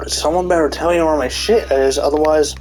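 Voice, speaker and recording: A man's voice: on the high side, fairly even intonation, a little fast, a little mellow, a little hiss or hum. A man in a casual, rambling commentary rant, full of fillers and restarts, swearing often, with a stuffy nose. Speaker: commentary YouTuber.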